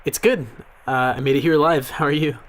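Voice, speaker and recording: An actor playing a mild, awkward young archivist in a naturalistic audio drama, speaking in a halting, reserved, deferential way. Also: male voice, on the high side, fairly even intonation, natural voice. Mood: casual, reserved. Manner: hesitant, soft-spoken, halting.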